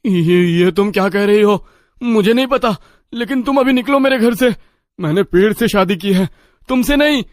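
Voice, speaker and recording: A woman plays a young male groom in a cartoon horror story. He is anxious and stubborn, protesting in a voice of middling pitch. The sound is dry and close. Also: normal speed, no room sound, lightly acted. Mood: alarmed, protesting.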